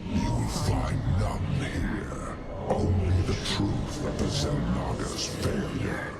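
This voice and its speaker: An actor playing an ancient, menacing dark god in a video game, coldly taunting in a grandiose, ominous manner. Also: male voice, low-pitched, very animated, slow pace, creaky, loud music behind the voice, heavily acted. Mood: cold menace. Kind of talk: video game cinematic acting.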